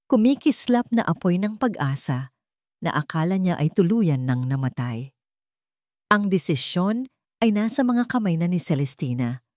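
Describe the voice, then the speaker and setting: A woman narrating a scripted dramatic love story in a theatrical, deliberately phrased way. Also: sits low, warm tone, dry recording, clean studio sound.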